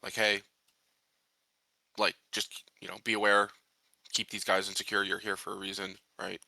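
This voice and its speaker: A man with a low voice and a very bright, forceful edge chats casually through a tabletop roleplaying game. The recording is dry and close. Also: monotone, normal speed, vocal fry, dry recording, low-quality recording.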